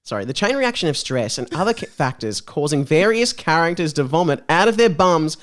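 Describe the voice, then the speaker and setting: A man's voice: fairly high pitch, very animated, a little fast. A young Australian man, a comedian, chatting and reading aloud in a giggly, rambling way on a casual comedy podcast. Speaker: comedian, podcast guest or co-host.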